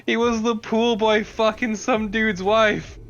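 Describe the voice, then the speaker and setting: A man's voice: high-pitched, level tone, slow pace, fairly bright tone, muffled recording. A man co-commentating on a let's play in casual banter, wry and often swearing.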